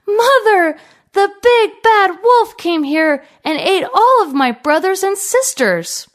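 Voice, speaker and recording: A woman voicing a small, earnest and excitable young goat in a storybook, childlike and emphatic. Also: extremely high pitch, takes it slowly, pitched above the performer's own voice, exaggerated voice. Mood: distressed.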